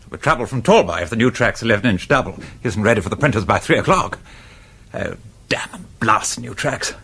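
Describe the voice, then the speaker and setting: An actor playing a grumbling, sardonic, world-weary English office man in a period radio drama, with a rough, gravelly voice. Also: on the low side, animated, a little fast, a bit bright, full character voice. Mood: exasperated, wry.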